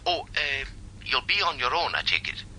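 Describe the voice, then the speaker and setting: A man's voice: fairly high pitch, very animated, moderate pace, bright, forceful tone, heavily acted. A man acting an anxious, insistent caller in a radio drama, heard through a telephone filter. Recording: thin, noisy telephone-line sound.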